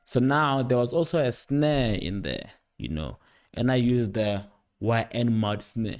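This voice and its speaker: A young man giving a casual, unscripted music production tutorial, rambling playfully in slangy speech with excited interjections. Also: medium pitch, measured pace, dry recording, studio quality. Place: indoor home studio at a computer. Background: loud instrumental beat and instrument sounds playing.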